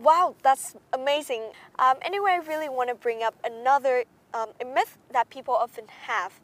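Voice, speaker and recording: Upbeat young woman reporter, high voice. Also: brisk. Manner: upbeat, frequent um fillers. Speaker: field reporter. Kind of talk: hosted campus tour interview.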